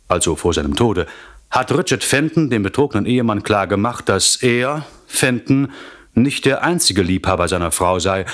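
Low-voiced wry male radio narrator. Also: a bit bright. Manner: wry, deliberate, dramatic pauses and emphasis. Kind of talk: scripted storytelling recap of a radio play.

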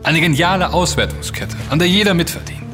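An actor playing a self-assured, intense investigator in a German audio drama, speaking emphatically and accusingly, with dramatic delivery. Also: male voice, fairly high pitch, fairly quick, close to an everyday voice. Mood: insistent. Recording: long reverb with music underneath.

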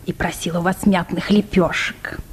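An actress in a radio play playing a pert, blunt maidservant relaying messages. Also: female voice, on the low side, fairly even intonation, normal speed, slight background noise, natural voice. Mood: dutiful. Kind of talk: radio play acting of a comic short story.

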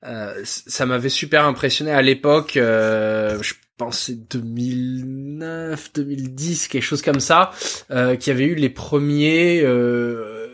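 A man's voice: medium pitch, unhurried, a bit bright. Hesitant man, stumbling over words. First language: not English. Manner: hesitant, many repeated words and fillers. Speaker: podcast co-host. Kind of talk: casual tech podcast chat.

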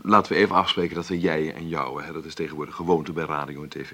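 An actor playing a wry, stubborn middle-aged film maker who talks haltingly and conversationally in a radio play interview. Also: male voice, a bass voice, fairly even intonation, pushed and bright, heavily acted. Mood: wry, easygoing. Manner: conversational, halting, adds tag questions. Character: celebrated 53-year-old film maker, wry, stubborn, self-made. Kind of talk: radio drama played as an interview.

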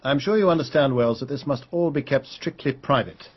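An actor as a husky upper-class English squire. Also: male voice, mid-range voice, brisk, close to an everyday voice. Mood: businesslike, troubled. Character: upper-class English country gentleman, troubled, bluff, polite. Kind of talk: radio drama acting. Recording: dull, lo-fi radio drama recording.

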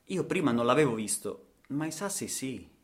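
Male, actor as impassioned traveller-storyteller for radio drama; mid-pitched, theatrical delivery with insistent, swinging dynamics. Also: big pitch swings, normal speed, natural voice. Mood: reflective narration. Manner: theatrical, insistent repetitions, swings from hushed to shouting. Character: impassioned male traveller recounting a trip across the savanna.

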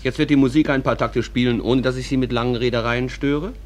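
An actor playing a wry, folksy stage manager who narrates town life conversationally to radio listeners. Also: male voice, mid-range voice, steady tone, fairly quick, natural voice. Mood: wry, companionable. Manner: conversational, addresses listeners directly. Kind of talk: German radio play narration.

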